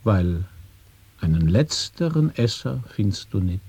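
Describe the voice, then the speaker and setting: A man reading experimental sound poetry in a radio play, calm and matter-of-fact. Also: on the low side, takes it slowly, breathy edge.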